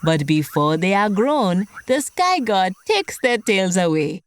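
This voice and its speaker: Animated, slow-paced woman storyteller. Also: medium pitch. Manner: expressive, addresses young listeners directly. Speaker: host and storyteller of a children's podcast. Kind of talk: scripted children's storytelling.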